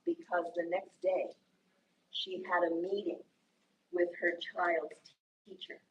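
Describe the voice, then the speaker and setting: A woman teacher with an Indiana accent talks in a slow, unhurried, patient way, explaining things carefully to her class. She is picked up from some distance across a classroom, with a faint hiss underneath. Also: on the low side, little pitch movement, muffled recording. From Indiana, USA. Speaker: English teacher.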